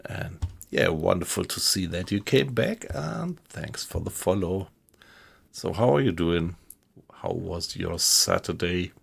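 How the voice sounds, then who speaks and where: Slow, gravelly German man streaming a game. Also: low-pitched, lively intonation. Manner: slow, hesitant, chatty with viewers. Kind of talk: casual live-stream commentary. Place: home room at night.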